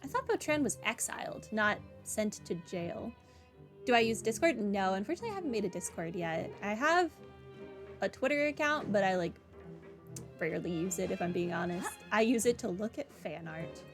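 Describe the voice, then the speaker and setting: A woman's voice: medium pitch, very animated, moderate pace. A woman game streamer with a wry manner, casually chatting and reading aloud during a playthrough. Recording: game music behind.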